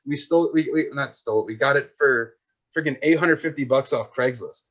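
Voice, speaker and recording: A man's voice: medium pitch, fairly quick, a bit bright. A man in his twenties, a podcast guest and filmmaker, chatting casually in a rambling, slangy way. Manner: rambling, slangy, full of "like" and "dude". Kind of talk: casual chat. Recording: dull lo-fi sound.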